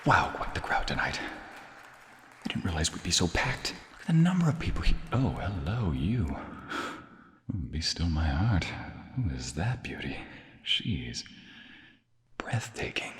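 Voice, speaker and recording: Man acting a deep-voiced, smitten, nervous violinist. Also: big pitch swings, unhurried, pauses often, a bit bright, long reverb tail, some chatter behind, exaggerated voice. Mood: smitten, flustered. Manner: hesitant, breathy asides and sighs, stammering. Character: nervous, smitten, gentle romantic male concert violinist. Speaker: ASMR roleplay voice actor. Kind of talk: intimate ASMR romantic roleplay acting.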